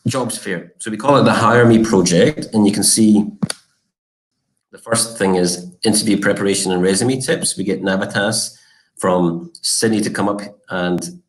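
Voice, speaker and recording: Low-voiced Scottish-born man presenting. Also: rough recording. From Scotland. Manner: relaxed, informative, with frequent um and uh. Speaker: college marketing director. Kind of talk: live online presentation.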